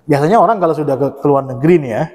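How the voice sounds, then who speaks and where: A man around forty hosts the interview, asking questions and echoing his guest's words with short affirmations. His voice is mellow and on the dark side, and the recording carries a long reverb. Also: a little high, lively intonation, very fast. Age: about 40. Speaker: interviewer host.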